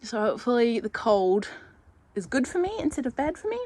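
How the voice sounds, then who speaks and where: A woman's voice: on the high side, sing-song, brisk, darkish tone. A woman vlogging in a casual, chatty way, her voice nasal and stuffed up from a cold. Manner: chatty, savoring, thinking aloud. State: congested with a cold. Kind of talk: casual vlog chat.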